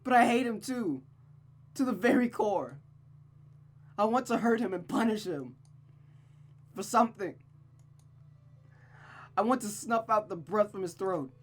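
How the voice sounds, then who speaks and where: Woman acting out a high, distraught, unravelling young woman. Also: animated, measured pace, long pauses. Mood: bitter, hateful. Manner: stammering, sobbing, pleading. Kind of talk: dramatic visual novel reading.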